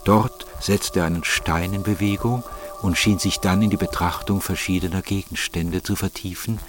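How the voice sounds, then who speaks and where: A man with a low voice narrates a literary radio drama. Soft music sits behind him. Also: steady tone, normal speed.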